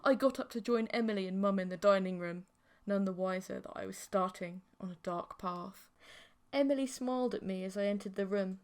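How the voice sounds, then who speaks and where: A woman's voice: mid-range voice, a little fast, darkish tone. A woman reading scripted first-person fiction as an audiobook narrator.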